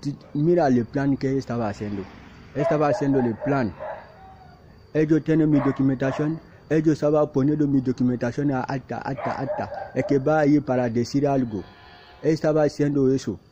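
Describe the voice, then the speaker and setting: A man speaking halting, repetitive second-language Spanish, insisting and defending himself in a self-recorded plea, outdoors in a field. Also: mid-range voice. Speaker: migrant speaking to camera. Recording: muffled with clear background noise and barking dog.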